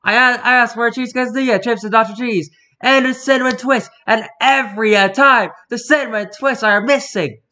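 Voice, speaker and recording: Squeaky high cartoon italian plumber, bright punchy voice, italian accent, flustered n stumbling. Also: male voice, steady tone. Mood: exasperated. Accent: put-on Italian. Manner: halting, stumbling over words.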